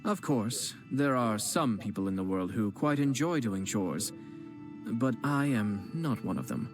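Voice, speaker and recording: A composed young noble clan head, formal and measured, with a quiet teasing wit. His voice sits at an ordinary middle pitch, and game music plays clearly behind him. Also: expressive. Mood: courteous calm. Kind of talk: game voice acting.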